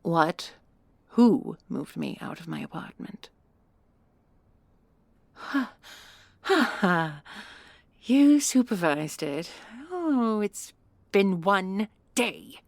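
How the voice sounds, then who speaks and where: A woman's voice: mid-range voice, sing-song, drawn-out words, creaky, long pauses, no room sound, heavily acted. A woman playing a proud, sardonic commandant and inventor in a sci-fi audio drama, with a dry, haughty manner. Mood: indignant. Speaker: voice actor and creator. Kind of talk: sci-fi audio roleplay acting.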